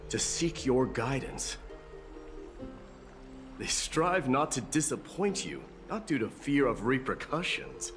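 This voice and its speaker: An actor playing a stoic, proud warrior demigod in a video game, speaking formally and resolutely over music. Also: male voice, mid-range voice. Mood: earnest, respectful. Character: stoic, proud warrior prince demigod, devoted to protecting others. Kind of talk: dramatic video game voice acting.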